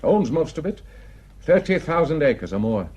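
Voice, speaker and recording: A man's voice: a little high, sing-song, normal speed, darkish tone, breathy edge. An actor playing a gossipy, plain-spoken village man with a Northern English accent, telling indignant tales in an emphatic, colloquial way in a radio drama. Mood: wry indignation. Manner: garrulous, emphatic, colloquial storytelling.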